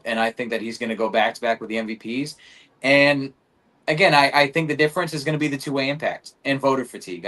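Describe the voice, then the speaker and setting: A man on a sports podcast panel, giving measured, analytical takes and hedging often with "I think". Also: mid-range voice, a bit bright.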